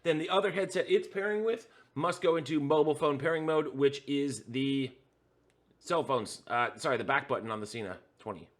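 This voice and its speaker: A man's voice: fairly high pitch, brisk. A man hosting a hands-on tutorial, explaining a setup step by step with frequent "uh" fillers while pointing at the devices in front of him. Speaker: host.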